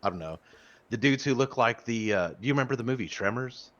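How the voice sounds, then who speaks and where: A man's voice: mid-range voice, expressive, quick pace, fairly bright tone. A man in his thirties chatting casually about an old online game, rambling with stammered restarts and frequent swearing. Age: 30s. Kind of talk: casual gaming chat. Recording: muffled lo-fi audio.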